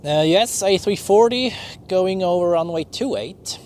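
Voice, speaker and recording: A man with a Swiss accent talks in a relaxed, thinking-aloud way while spotting planes. His voice sits a little high. Clear aircraft and traffic noise from outdoors runs behind him. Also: measured pace. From Switzerland. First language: Swiss German. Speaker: plane-spotting livestream host. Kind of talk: live spotting commentary. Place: outdoors beside an airport runway. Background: aircraft and vehicle noise.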